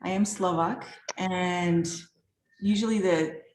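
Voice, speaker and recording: A woman's voice: on the low side, fairly even intonation, takes it slowly, a little mellow, echoey room, a little hiss or hum, low-quality recording. A middle-aged woman from the former Czechoslovakia, an art professor, introducing herself in casual, upbeat chat and laughing often. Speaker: guest artist and art professor. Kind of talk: casual self-introduction at a virtual reception.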